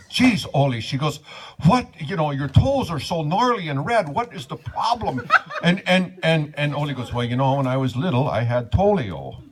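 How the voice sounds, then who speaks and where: A man's voice: fairly high pitch, natural voice, poor audio. Man doing a folksy Upper Midwest Norwegian joker, bouncy delivery, live crowd noise behind. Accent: Scandinavian-American Upper Midwest. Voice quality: folksy, chuckling, says "by golly". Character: folksy Scandinavian-American man Sven, jovial joke-teller. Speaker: wedding entertainer. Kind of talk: comic duo routine at a wedding.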